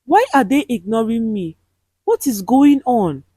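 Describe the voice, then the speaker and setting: A woman's voice: fairly high pitch, big pitch swings, measured pace, dark tone, breathy edge, no room sound, studio quality, full character voice. A woman storyteller voicing a frightened, distraught mother who pleads for her daughter in a dramatic tale. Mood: alarmed.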